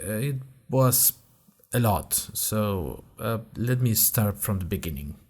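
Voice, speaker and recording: A man's voice: lowish voice, expressive, slow pace, darkish tone, slightly rough, heavily acted. A man playing a wry college freshman who narrates his audio diary in a dry, conversational podcast style with hesitant asides. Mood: weary. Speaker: podcast host character.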